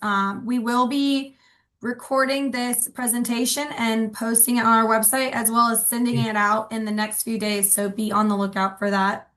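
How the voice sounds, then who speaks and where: A woman's voice: a little high, a bit bright. A woman giving housekeeping announcements before a webinar, friendly, with frequent ums. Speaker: association director introducing a webinar.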